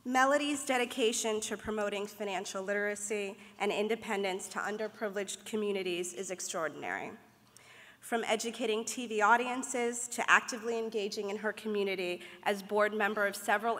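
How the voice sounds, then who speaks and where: A woman's voice: medium pitch, brisk, a bit bright. Earnest young woman, prepared speech. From United States. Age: young adult. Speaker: mentor presenting an award. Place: banquet hall at an awards dinner. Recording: echoey banquet hall.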